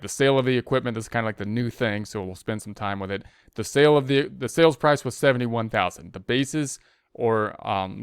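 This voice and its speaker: Male instructor, mid-pitched and clean-toned, informal and conversational, reasoning aloud through a tutorial. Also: clean tone, dry recording, clean studio sound. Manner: conversational, thinks aloud with rhetorical questions. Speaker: accounting instructor. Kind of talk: informal screen-share tutorial explanation.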